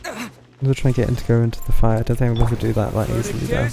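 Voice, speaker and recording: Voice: mid-range voice, sing-song, very fast, vocal fry. A game streamer giving casual, wry and understated live commentary while playing. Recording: game music behind the voice. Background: video game music.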